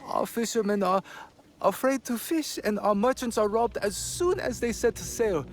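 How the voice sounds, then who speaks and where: An actor playing a troubled, courteous town official in a video game, with a formal, plaintive manner. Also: male voice, high voice, lively intonation, moderate pace, slight background noise. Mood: worried. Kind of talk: video game cutscene acting. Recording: faint music behind the voice.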